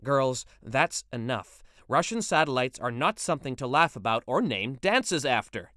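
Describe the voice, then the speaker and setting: A man playing a pompous, anxious suburban neighbor in a read-aloud story, lecturing loudly. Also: mid-range voice, lively intonation, normal speed, clear, resonant tone, no room sound, clean studio sound, lightly acted.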